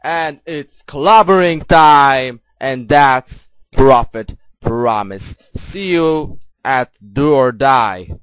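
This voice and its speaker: A man's voice: mid-range voice, extremely slow, few pauses, fairly bright tone, smooth, no echo. A man with a Polish accent cutting a boastful wrestling promo, leaning on "you see" again and again. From Poland.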